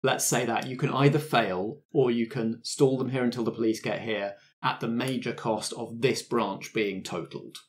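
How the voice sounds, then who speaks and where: A man's voice: medium pitch, fairly even intonation, moderate pace, hardly any pauses. Conversational man running a tabletop game. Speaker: game master of a tabletop roleplaying podcast. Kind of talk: improvised tabletop game narration and casual chat.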